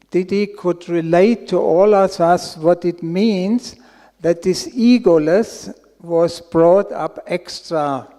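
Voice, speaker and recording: Slow, mellow Austrian man. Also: high voice. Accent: Austrian German. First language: German. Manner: slow and careful, with fillers. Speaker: event host asking a question. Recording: echoey hall.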